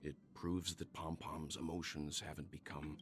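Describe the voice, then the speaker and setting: A man voicing a calm, thoughtful older crew member in an anime game, measured and reassuring, with a gravelly tone. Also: very deep voice. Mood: calm reassurance.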